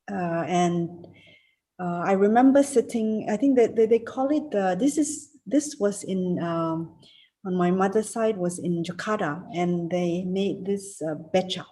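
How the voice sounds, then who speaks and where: A woman in her fifties from Singapore, giving a reunion speech over a video call and answering questions, halting with many fillers and restarts. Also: medium pitch, dark tone. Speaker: keynote speaker, alumna.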